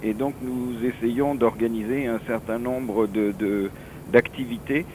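A mature man with a French accent from France speaks as an interview guest over the telephone, with faint noise on the line. His explanations are halting and digressive, in a mid-pitched voice. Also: steady tone, darkish tone, low-quality recording. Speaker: association president, interview guest. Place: office, on the phone.

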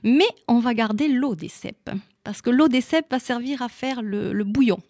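A woman's voice: on the high side, moderate pace, darkish tone, dry recording, clean studio sound. A woman with an Italian accent, a shop owner and guest, chatting and explaining cooking step by step. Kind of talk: casual explanation of recipes.

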